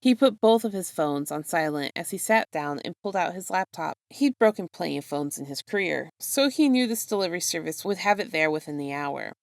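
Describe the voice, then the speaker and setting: A woman's voice: lowish voice, lively intonation, a little fast, gravelly, few pauses, dry recording, studio quality. A woman narrating a piece of fan fiction, reading expressively from a script as a storyteller.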